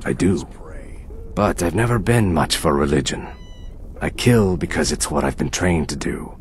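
A dutiful reptilian assassin for a fantasy game. The voice is extremely deep, calm and reflective. He chooses his words with measured courtesy. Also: a little hiss or hum. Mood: solemn, reflective.

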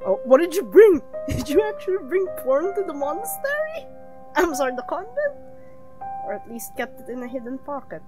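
A woman's voice: sits high, big pitch swings, moderate pace, music in the background. A woman giving casual, sardonic commentary as she streams a game. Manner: sardonic, wry.

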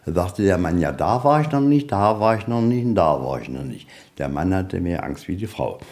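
A man's voice: deep voice, sing-song, normal speed, a little mellow. A man guiding visitors through a museum in a folksy, rambling way, telling anecdotes informally. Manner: folksy, rambling storyteller, tags sentences with "ja". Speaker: museum guide. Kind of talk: informal guided tour with anecdotes. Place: inside a museum, on location.

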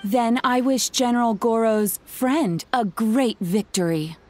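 An actress playing a calm, thoughtful young shrine maiden who gives advice deliberately in an anime game. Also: female voice, fairly high pitch. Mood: calm, advising. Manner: composed, deliberate, instructive. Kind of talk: anime video game dialogue. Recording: music clearly behind the voice.

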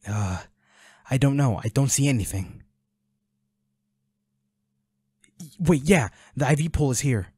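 A man's voice: medium pitch, warm tone, breathy edge, no echo, lightly acted. A man playing a protective, worried boyfriend in an intimate audio roleplay, speaking in a pleading, soothing, urgent way. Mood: tense, focused. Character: protective, worried boyfriend tending his injured werewolf partner.

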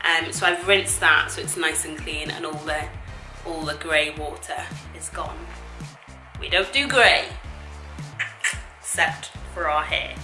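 Young female cooking vlogger, casual and playful, clear music and pan sizzle behind her. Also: lowish voice, pushed and bright, poor audio. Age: young adult. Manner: chatty, informal, playful asides. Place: home kitchen, indoors. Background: music, sizzling food, stirring.

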